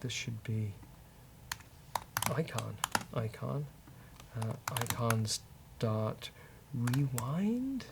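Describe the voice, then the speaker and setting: Male coding co-host, gravelly mid-pitched voice, thinks aloud haltingly over keyboard clatter. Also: sing-song, heavy background noise. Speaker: co-host coding on a software show. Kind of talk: casual live coding commentary, thinking aloud.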